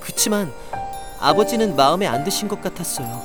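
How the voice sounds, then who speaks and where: A man's voice: high voice, animated, fairly quick, over loud music. A man playing a timid, insecure young boy, meek and hesitant, in an audio drama. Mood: dejected. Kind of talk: Korean audio drama acting.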